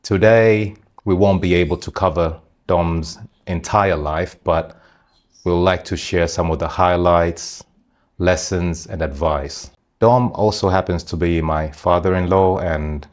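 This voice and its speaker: Deep-voiced man hosting a podcast. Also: unhurried. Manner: calm, short backchannel responses. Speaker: podcast host. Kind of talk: scripted podcast intro and interviewing.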